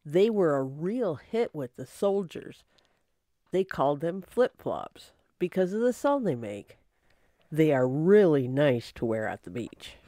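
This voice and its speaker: A woman's voice: deep voice, big pitch swings, a little slow, warm tone, no echo, studio quality. A woman playing a chatty secretary at a detective's office, in old time radio drama style.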